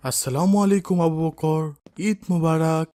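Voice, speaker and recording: A man playing a pious, kindly father in a cartoon, gentle and instructive with his son. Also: fairly high pitch, steady tone, normal speed, dry recording, natural voice. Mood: cheerful. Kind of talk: cartoon drama voice acting.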